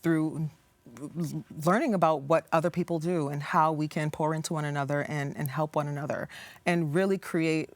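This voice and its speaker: Earnest low-voiced woman guest. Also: fairly even intonation, normal speed, no echo. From United States. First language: English. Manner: earnest, passionate, stops and restarts phrases. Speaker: community organization founder, guest. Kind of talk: studio interview.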